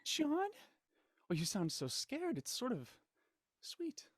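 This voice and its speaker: An actor playing a smug, menacing villain in an audio drama, mocking, theatrical and condescending in manner. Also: male voice, very high voice, wildly swooping pitch, takes it slowly, no echo, clean studio sound, full character voice. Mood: smug, taunting. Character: smug, menacing male villain, cold culprit and puppet-master writer.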